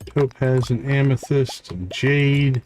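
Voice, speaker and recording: A man hosting a livestream, giving casual gameplay commentary and thinking aloud in an unhurried way. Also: mid-range voice, a little mellow, a little hiss or hum. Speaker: livestream host.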